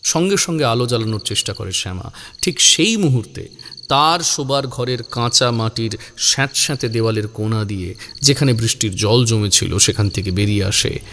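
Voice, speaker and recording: A man's voice: on the low side, fairly quick, a bit bright, a little hiss or hum. A man narrating a scripted horror story in a dramatic style.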